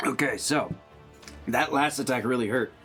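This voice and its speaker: A man's voice: medium pitch, normal speed, a bit bright. Man thinking aloud at a game, faint music. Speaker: tabletop game player. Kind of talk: casual tabletop roleplay chat.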